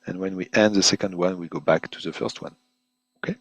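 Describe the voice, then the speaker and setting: A man presenting an unscripted webinar software demonstration in halting, hesitant phrases, often repeating words as he goes. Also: low-pitched, fairly even intonation, no room sound. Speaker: product presenter giving a software demo.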